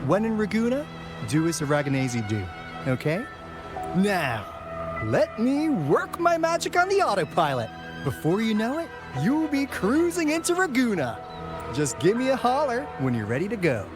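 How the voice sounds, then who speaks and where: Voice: medium pitch, wildly swooping pitch, normal speed. An actor playing a breezy, cocky pilot and guide in a video game, with a folksy, slangy manner. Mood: wry, casual. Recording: music behind.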